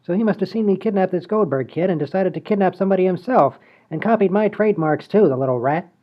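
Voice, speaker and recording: A man's voice: sits high, fast. A man voicing a brash, scheming small-time crook in a comedy radio play. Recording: dull lo-fi audio.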